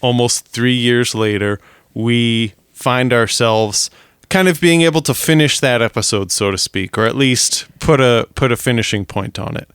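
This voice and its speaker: A man's voice: mid-range voice, moderate pace, fairly bright tone, clear, resonant tone, dry recording, studio quality. A man co-hosting a casual podcast, meandering through his points with lots of restarts and laughs. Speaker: podcast co-host. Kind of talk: casual chat.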